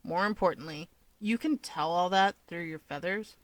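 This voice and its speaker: A woman voicing a sardonic, aloof young male villain with a dry, blunt, deadpan manner in an audio story. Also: on the low side, normal speed, dry recording, voice pushed down, lightly acted. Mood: dismissive. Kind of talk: fan fiction audiobook character dialogue.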